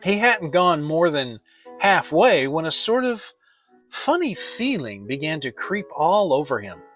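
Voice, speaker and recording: A man narrates a children's storybook dramatically. His voice sits high for a man and moves with plenty of melody. The delivery is expressive and theatrical. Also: unhurried, faint music behind. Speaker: audiobook narrator.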